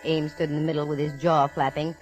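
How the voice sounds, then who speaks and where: A woman's voice: deep voice, flat delivery, fairly quick, a little mellow, soft background music, close to an everyday voice. An American actress playing a sassy, hard-boiled female private eye in 1940s radio drama, with wry, wisecracking delivery. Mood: dry narration. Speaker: lead actress and narrator. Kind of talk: 1940s radio detective acting with wry first-person narration.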